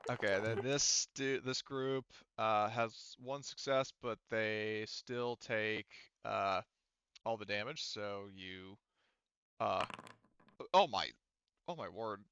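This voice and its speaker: A man working as game master of a tabletop roleplaying game, narrating casually and haltingly, thinking aloud and swearing. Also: on the low side, fairly bright tone, clean tone, dry recording, dull audio.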